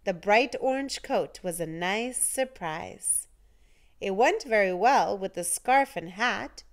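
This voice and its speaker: A woman reading a children's picture book aloud in an animated, deliberate way with dramatic emphasis. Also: medium pitch. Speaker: storytime reader.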